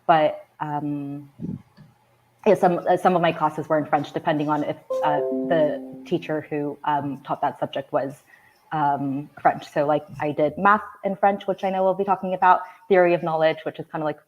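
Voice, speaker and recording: A woman in her thirties from Korea talks as an interview guest, chatty and easygoing, with many ums and small laughs. Her voice sits low for a woman. The sound is a bit dull and lo-fi. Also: a little mellow. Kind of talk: casual interview talk.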